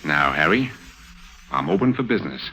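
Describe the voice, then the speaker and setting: An actor playing a ruthless, smugly scheming racketeer, commanding and smooth-talking, in a 1950s radio crime drama. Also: male voice, very deep voice, big pitch swings, normal speed, low-quality recording.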